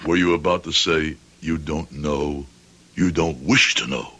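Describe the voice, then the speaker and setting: An actor playing a grieving, vengeful shoemaker in an old radio drama, speaking formally and deliberately with cold determination. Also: male voice, very deep voice, sharp tone, heavily acted. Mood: cold, accusing. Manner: formal, deliberate, rhetorical questioning. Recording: noisy vintage radio drama recording.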